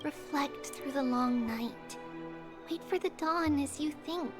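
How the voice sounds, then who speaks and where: A woman voicing a gentle, solemn young girl prophet in a game cutscene. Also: high-pitched, slow pace. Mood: gentle, reflective. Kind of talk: scripted game cutscene dialogue. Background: orchestral music.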